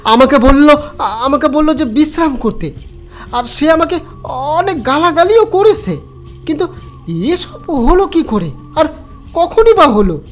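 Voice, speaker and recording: A man voicing a bewildered, grieving young village man in a stammering, halting way, for an audio horror story. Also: extremely high pitch, big pitch swings, soft background music, voice pushed up, exaggerated voice. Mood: shaken, bewildered.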